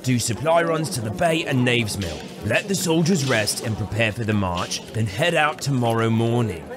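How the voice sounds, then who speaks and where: An actor playing a diplomatic, level-headed soldier in a fantasy audio drama, reasoning in a measured way. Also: male voice, medium pitch, animated, natural voice. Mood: calm, reasoning. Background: background music.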